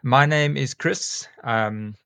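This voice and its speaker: A man in his forties with a South African accent, a school teacher talking casually as a podcast guest and often pausing with ums and restarts. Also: mid-range voice, vocal fry, fairly bright tone, clean tone, no room sound. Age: 40s. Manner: rambling, many ums and restarts.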